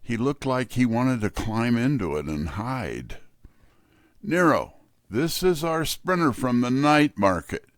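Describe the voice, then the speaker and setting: A man's voice: mid-range voice, sing-song, takes it slowly, no echo, studio quality. A man giving a scripted, wry first-person hardboiled detective narration.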